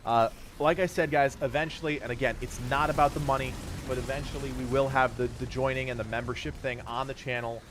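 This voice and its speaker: A thirty-year-old man whose voice is going hoarse and stuffy, streaming live and thanking his viewers for donations in a grateful, rambling way. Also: mid-range voice, steady tone, a little fast, hardly any pauses, background noise. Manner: grateful, rambling, laughs often.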